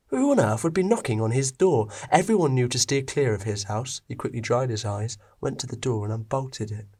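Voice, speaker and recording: A man's voice: medium pitch, vocal fry, a little mellow, husky. A man narrating a scripted story as its reader.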